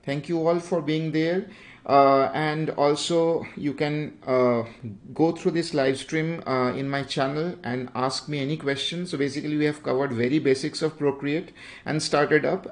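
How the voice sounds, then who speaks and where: Halting Indian-accented young man teaching. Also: a little high. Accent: Indian English. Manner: unhurried, halting, with frequent fillers. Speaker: art tutor. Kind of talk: livestream drawing tutorial.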